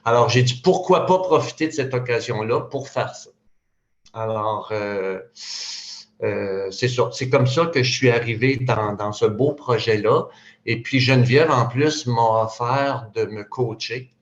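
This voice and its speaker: A man in his sixties with a Quebec French accent talks in a relaxed, halting way, full of "euh" and restarts. His voice sits at an ordinary pitch, and the recording sounds roomy and a bit dull, like a lo-fi capture in a meeting space. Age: 60s. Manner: hesitant, many fillers and restarts. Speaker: panel speaker, retired psychoeducator. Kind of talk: casual public talk.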